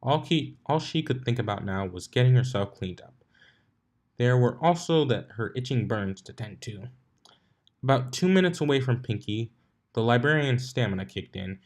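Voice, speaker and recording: Someone reading fanfic out loud, mid pitch, story narration, trips on words now n then. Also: animated, moderate pace. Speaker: fan fiction reader. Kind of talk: scripted story narration with stumbles.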